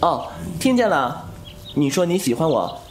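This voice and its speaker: A man's voice: on the high side, big pitch swings, measured pace. An actor playing a clever, teasing young swordsman with wounded pride and a flippant, sardonic manner in a Chinese wuxia audio drama. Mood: breezy, teasing. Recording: music under the voice.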